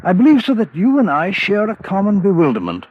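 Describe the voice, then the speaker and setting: An actor playing a courteous, guarded British police inspector in an old-time radio drama, speaking formally and precisely. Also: male voice, high voice, wildly swooping pitch, fairly quick, exaggerated voice. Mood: measured, guarded. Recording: dull, noisy vintage radio recording.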